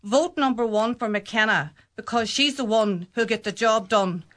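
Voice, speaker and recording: A woman with a Donegal Irish accent, a local election candidate giving her campaign pitch and answering on a panel in an earnest, emphatic way. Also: moderate pace, a bit bright. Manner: earnest, emphatic, repeats phrases when answering.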